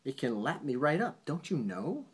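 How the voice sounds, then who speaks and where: A cautious talking river from a bedtime poem, read aloud by a man. It speaks in husky, lilting rhymes. Wary and watchful, it keeps an eye on the bear. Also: fairly high pitch, very animated, moderate pace, voice pushed up, full character voice. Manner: sing-song rhyming.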